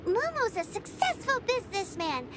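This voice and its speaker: A woman's voice: very high-pitched, sing-song, normal speed, very noisy. A woman voicing a tiny, curious, childlike companion in a video game. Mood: kindly.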